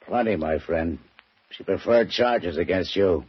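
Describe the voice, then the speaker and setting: An actor playing a gruff, curt, exasperated police detective in a 1940s radio drama. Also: male voice, sits low, a little slow, dry recording. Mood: curt, smug. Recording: dull vintage radio recording.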